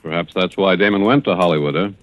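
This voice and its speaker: Male actor as mild producer, very low pitch, calm, placating, quietly inquisitive, old-time radio drama. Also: quick pace, dry recording, lightly acted. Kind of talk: 1940s radio acting.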